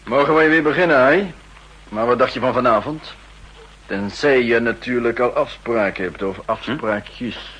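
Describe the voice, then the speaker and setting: An actor playing a roguish, sardonic spy chief in a 1960s radio drama, with a teasing, theatrical way of talking. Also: male voice, mid-range voice, moderate pace, fairly bright tone, breathy edge, poor audio. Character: roguish, sardonic male espionage chief, a jealous colleague.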